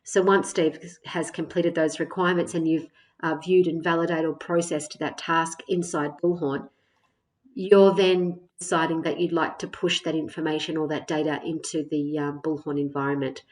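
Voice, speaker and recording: A woman with a lowish voice narrates a software walkthrough. She explains each step in a measured, semi-scripted way, often pausing on small hesitations as she goes. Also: little pitch movement, rough recording. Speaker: software tutorial presenter.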